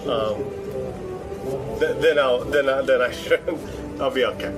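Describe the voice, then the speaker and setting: Male, medium pitch, hesitant self-deprecating interviewee, casual, music underneath. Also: measured pace.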